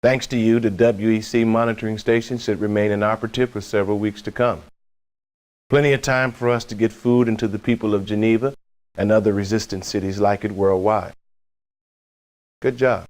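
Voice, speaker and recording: A man playing a measured, fatherly middle-aged resistance officer, formal and reassuring, in a game debriefing. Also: lowish voice, steady tone, a little mellow, natural voice. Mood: approving.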